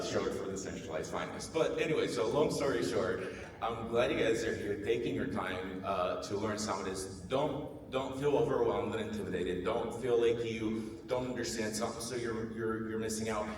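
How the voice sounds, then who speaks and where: A Bulgarian-accented man with a low, slightly worn voice speaks earnestly on a conference stage, often restarting his sentences. The sound is roomy and far, with a long hall reverb. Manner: earnest, self-deprecating, many restarts. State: losing his voice. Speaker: panelist, marketing executive. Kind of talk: conference panel talk. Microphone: handheld mic. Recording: echoey hall, distant mic, faint noise.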